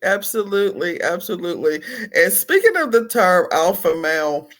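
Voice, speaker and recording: A woman's voice: fairly low pitch, expressive, moderate pace. A woman hosting a podcast interview who affirms her guest often and talks in a casual, personal way. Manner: warm, affirming, frequent "yes" and "absolutely". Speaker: podcast host.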